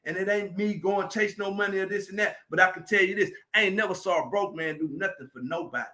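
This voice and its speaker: A man, speaking in a very high, piercingly bright voice. He gives an emphatic, preachy motivational talk on a live stream. He often raises his voice to drive his points home. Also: fast. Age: 40s.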